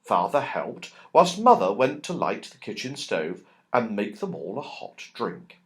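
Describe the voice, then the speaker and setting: A man's voice: fairly low pitch, sing-song, measured pace. A man narrating a children's storybook expressively, drawing out key words for emphasis. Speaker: audiobook narrator.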